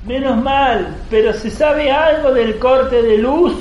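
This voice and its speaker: An eager, helpful family youngster played by a woman in a radio play. The voice is high and unhurried, in an echoey room. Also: lo-fi sound. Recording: echoey room, music behind.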